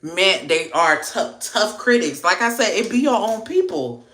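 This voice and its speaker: A woman's voice: medium pitch, a little slow, echoey room. A young woman from Miami with a Haitian American accent, chatting casually on a livestream and answering viewer comments. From Miami, Florida. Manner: chatty, lively, slangy. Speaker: livestream host.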